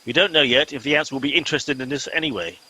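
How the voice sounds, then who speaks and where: A man playing a pompous middle-aged captain in a comedy radio play, brisk, officious and commanding. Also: mid-range voice, bright, forceful tone.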